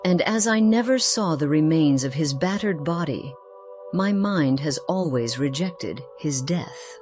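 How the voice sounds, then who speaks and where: Slow, low-voiced ageless noblewoman, secretive and refined, played by a woman. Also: animated, darkish tone, faint music behind. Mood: mournful, wistful. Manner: formal, measured, theatrical pauses. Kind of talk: scripted game character dialogue.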